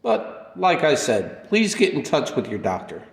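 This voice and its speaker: An actor playing a polite, soft-spoken older gentleman with a gentle, formal manner in a radio play. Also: medium pitch, huge pitch swings, a little slow, gravelly, exaggerated voice. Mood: gentle, insistent. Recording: echoey room with long reverb.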